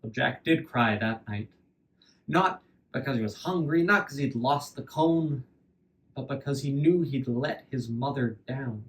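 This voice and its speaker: A man telling a fairy tale aloud as a dramatic storyteller, deliberate, repeating phrases for effect. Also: medium pitch, far from the mic, poor audio.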